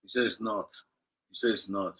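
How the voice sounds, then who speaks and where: A man's voice: lowish voice, fairly even intonation, fairly quick, heavy background noise. A man with a Nigerian accent hosting a livestream, exhorting his listeners and slipping between English and Igbo as he repeats his points. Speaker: livestream host. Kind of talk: impassioned livestream talk.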